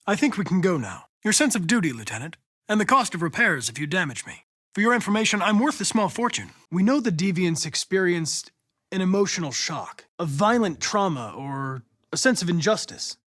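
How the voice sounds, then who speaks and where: A man's voice: high voice, expressive, fairly quick, fairly bright tone. A man voicing a polite, coolly logical young android detective in a video game, with formal, precise phrasing. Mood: calm, formal. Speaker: police investigator android.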